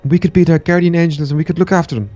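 A man's voice: on the high side, steady tone, very fast, dark tone, dull audio, lightly acted. A man playing a sad, caring young boy slave who talks urgently and repeats himself, in a Minecraft roleplay. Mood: miserable, anxious. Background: music.

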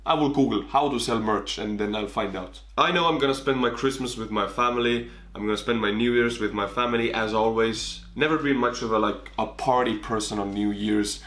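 Young man, thick Estonian accent, excitable vlogger, echoey small room. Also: lowish voice, fairly quick, few pauses. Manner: animated, big hand gestures, bursts of excitement. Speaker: YouTuber. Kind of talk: casual vlog to camera. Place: small bedroom indoors. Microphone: camera on desk.

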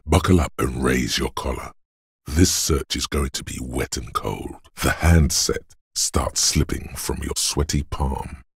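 An actor playing a grave, stern inner voice of endurance in a game, narrating bluntly and deliberately in a husky, gravelly tone. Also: male voice, a bass voice, no echo, clean studio sound, heavily acted. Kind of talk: scripted second-person game narration.

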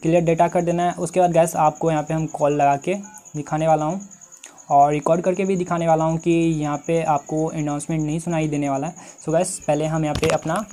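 A man's voice: on the high side, little pitch movement, fairly quick, dark tone. A man in his twenties with an Indian accent, hosting a step-by-step tech tutorial and addressing viewers directly on a lapel mic. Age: 20s.

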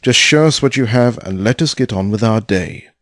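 Man as a brusque low-voiced investor. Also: normal speed, a bit bright, no echo, clean studio sound, natural voice. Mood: impatient, sarcastic. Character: brusque, impatient, sarcastic businessman investor. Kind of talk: audio drama acting.

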